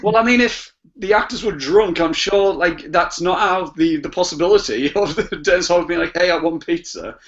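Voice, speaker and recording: A man's voice: high-pitched, brisk, few pauses, fairly bright tone. A man chatting casually as a podcast guest, hesitant and repeating his words as he goes. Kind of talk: casual chat. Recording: muffled, lo-fi podcast audio.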